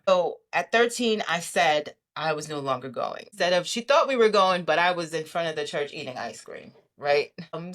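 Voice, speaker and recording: A woman, giving an animated, emphatic testimony as a podcast guest and often repeating herself for stress. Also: low voice. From Brooklyn, New York.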